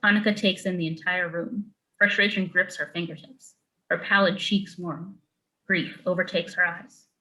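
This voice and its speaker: A woman's voice: fairly low pitch, steady tone, moderate pace, sharp tone, rough recording. A woman narrating a screenplay, reading out scene headings and stage directions as scripted narration.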